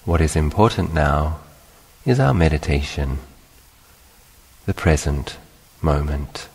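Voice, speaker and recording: Very deep, slow-speaking male meditation guide. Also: slightly rough. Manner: calm, unhurried, instructional with long pauses. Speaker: meditation teacher, monk. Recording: long hall-like reverberation.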